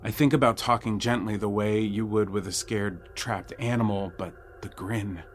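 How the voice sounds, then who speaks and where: A man narrating a first-person horror audio drama in a wry, suspenseful way with dramatic pauses. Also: lowish voice, fairly even intonation, a little hiss or hum. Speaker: storyteller narrating a nightmare.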